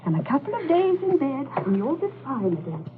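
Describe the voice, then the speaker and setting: A woman's voice: sits high, very animated, a little fast. An actress playing a prim, fussy, middle-aged English mother in genteel 1940s radio drama style. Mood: fussing. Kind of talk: 1940s radio suspense acting. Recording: scratchy, narrow-band 1940s radio recording.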